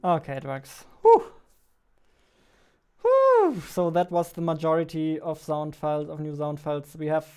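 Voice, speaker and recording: A man's voice: on the high side, big pitch swings, unhurried, darkish tone, clean tone. A man in his twenties chatting casually as he live-codes, muttering to himself and repeating words. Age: 20s. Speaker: game developer and streamer. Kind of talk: casual live coding chat. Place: small indoor room at a computer. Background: keyboard typing and clicking, faint music.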